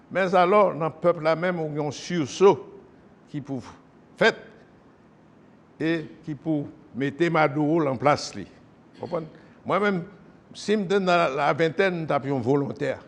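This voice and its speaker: A man who is a former colonel, speaking as a guest on a talk show and often asking whether he is understood. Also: on the high side. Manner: emphatic, keeps asking "you understand?". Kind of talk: political talk show interview.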